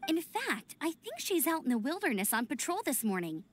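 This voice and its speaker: An actress voicing a cheerful, friendly young female knight in a video game, talking in a bright, chatty way as she explains. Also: high-pitched, sing-song, fairly quick, a little hiss or hum. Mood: explaining. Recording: game audio with faint music.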